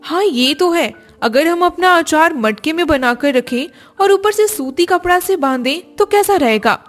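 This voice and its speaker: Woman acting very high, playful young daughter-in-law. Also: animated, unhurried, faint music behind. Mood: helpful. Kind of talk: animated family story dubbing.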